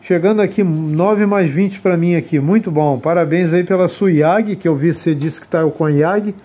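Mellow higher-voiced man. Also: normal speed, husky. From Brazil. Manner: friendly, courteous, repeating sign-offs. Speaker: amateur radio operator. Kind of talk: casual radio contact talk.

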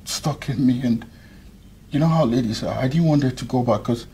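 An actor playing a two-timing young groom who pleads softly and evasively. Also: male voice, medium pitch, normal speed, slight background noise, close to an everyday voice. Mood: pleading. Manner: pleading, soft and insistent.

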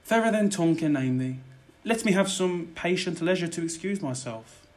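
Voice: medium pitch, expressive, moderate pace, darkish tone, natural voice. An actor playing a mockingly sweet-tongued killer in a theatrical verse audio drama. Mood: silkily mocking. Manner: theatrical, taunting.

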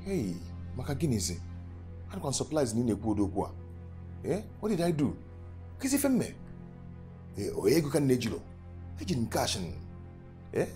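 A man's voice: medium pitch, brisk, long pauses. Man playing an indignant Igbo suitor. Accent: Nigerian, Igbo. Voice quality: animated, pleading. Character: young male suitor, indignant, proud. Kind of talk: skit acting, mixing Igbo and English. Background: music.

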